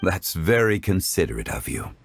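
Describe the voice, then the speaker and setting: Low-voiced, refined gentleman scholar. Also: very animated, vocal fry, soft background music, heavily acted. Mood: courteous. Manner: formal, measured, sophisticated. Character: refined, courteous, composed scholarly male consultant. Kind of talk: English video game voice acting.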